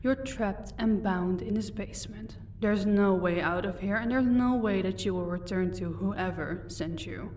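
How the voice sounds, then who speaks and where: A woman's voice: medium pitch, unhurried, few pauses, a little mellow, recorded from a distance, a little hiss or hum, close to an everyday voice. A woman playing a proud, sardonic vampire captor in an audio roleplay, commanding and dryly sarcastic. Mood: menacing interrogation.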